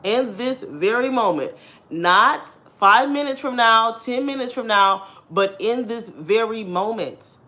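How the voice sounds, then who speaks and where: A woman in her thirties with a deep, husky voice, talking candidly to her viewers in a casual chat and laughing often. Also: animated, unhurried, bright, forceful tone. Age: 30s. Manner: chatty and candid, laughs often, addresses viewers as "girl" and "y'all". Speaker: vlogger.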